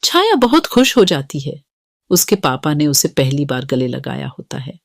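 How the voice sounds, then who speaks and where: A woman's voice: very deep voice, sing-song, normal speed, gravelly. A woman reading scripted narration for a children's moral story as the narrator.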